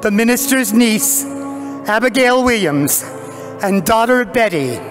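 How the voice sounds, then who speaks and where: High-voiced older man, slow theatrical narrator. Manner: dramatic, deliberate phrasing with weighty pauses. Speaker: narrator of a stage pantomime. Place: large church hall stage before a seated audience. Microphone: lectern microphone.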